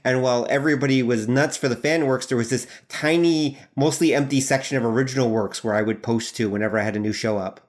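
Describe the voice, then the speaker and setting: A man talks as a guest on a casual podcast. He explains things fluently at an unhurried pace. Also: medium pitch. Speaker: podcast guest and audio drama producer.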